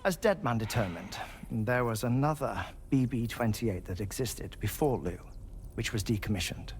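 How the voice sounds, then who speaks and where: An actor playing a measured, formal investigator who explains things deliberately and courteously in a video game cutscene. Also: male voice, mid-range voice, animated, a little mellow, a little hiss or hum, natural voice. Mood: grave, questioning. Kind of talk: dramatic expository game cutscene dialogue.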